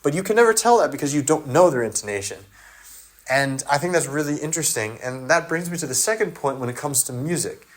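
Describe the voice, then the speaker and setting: A man's voice: a little high, animated, fast. Man rambling through a casual solo monologue. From English-speaking country. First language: English. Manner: rambling, thinking aloud with many fillers and restarts. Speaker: video essayist.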